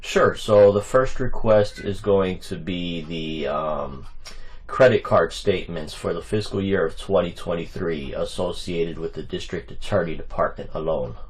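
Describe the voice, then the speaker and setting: A man's voice: low-pitched, measured pace. A man making an argumentative, officious phone call as a caller requesting records, insistent in his manner.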